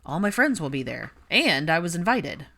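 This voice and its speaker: A woman's voice: on the low side, sing-song, moderate pace, vocal fry, sharp tone, heavily acted. A woman voicing a casual, defensive young man in a storybook reading. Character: defensive, shrugging young bachelor.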